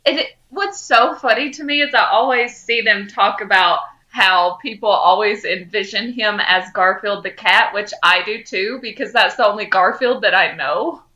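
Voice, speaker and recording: A woman's voice: mid-range voice, moderate pace, few pauses, pushed and bright, highs cut off. A woman co-hosting a podcast in casual fan chat. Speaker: podcast co-host.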